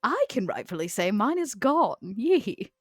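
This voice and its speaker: A woman's voice: medium pitch, very animated, a little slow, dry recording, clean studio sound. A woman playing a proud, scornful old vampire in a tabletop roleplay, with haughty, theatrical, formal phrasing. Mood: cool, firm. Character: proud, scornful old female vampire named Vera, elegant and ambitious.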